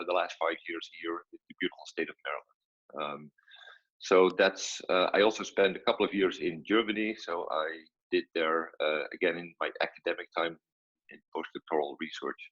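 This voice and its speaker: A man in his fifties from the Netherlands with a Dutch accent, speaking English as a second language in a measured, filler-laden way as a science guest on a video call. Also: low-pitched, fairly even intonation, fairly bright tone, breathy edge. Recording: dull lo-fi video call audio.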